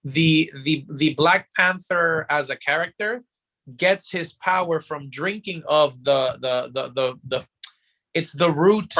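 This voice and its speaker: Bright, halting man explaining casually. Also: fairly high pitch, low-quality recording. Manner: halting, repeats words while explaining. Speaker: podcast host.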